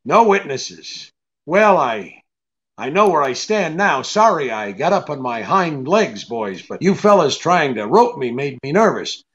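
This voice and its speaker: An actor playing a wary, hard-boiled private detective, curt and sardonic, in 1940s radio drama style. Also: male voice, on the high side, sing-song, measured pace, a bit bright, lo-fi sound. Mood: defensive, wry.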